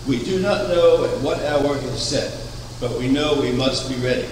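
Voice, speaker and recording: Old guy preaching, measured storytelling, long reverb, steady hum behind. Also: mid-range voice. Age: older. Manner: measured, anecdotal, with rhetorical questions. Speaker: preacher, founder of a religious order. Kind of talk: sermon. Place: chapel, at a wooden pulpit. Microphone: far camera. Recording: echoey chapel from far off, air conditioning hum.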